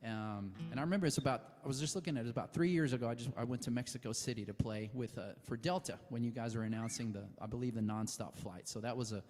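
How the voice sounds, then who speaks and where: A man's voice: mid-range voice, fast talker, few pauses, darkish tone, big-room sound, faint music behind. A man from Texas, a musician, talking casually and telling stories before he plays. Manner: friendly, rambling storytelling with fillers. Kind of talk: casual stage talk before a performance.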